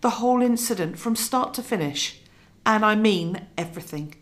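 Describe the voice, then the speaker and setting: An actress playing a resolute investigative TV journalist in a radio drama, presenting in a measured, emphatic way. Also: female voice, mid-range voice, lively intonation, natural voice. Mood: resolute, serious. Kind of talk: scripted documentary presenting within radio drama.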